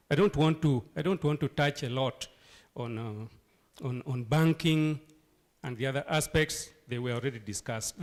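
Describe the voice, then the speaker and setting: Hoarse man giving an emphatic town hall speech. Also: medium pitch. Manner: emphatic, rhetorical questions, repeats key phrases. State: hoarse from flu and cough. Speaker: local leader addressing a town hall. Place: meeting hall.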